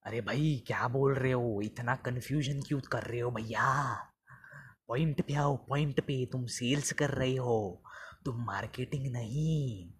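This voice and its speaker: A man voicing an exasperated, impatient sales boss who scolds in colloquial phrases with emphatic repeats, in a husky, breathy voice. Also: medium pitch, measured pace, slight background noise, close to an everyday voice.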